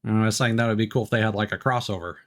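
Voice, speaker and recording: A man's voice: on the low side, fairly even intonation, racing, a bit bright. A man doing casual, rambling let's play commentary as a game streamer, chatty and easygoing.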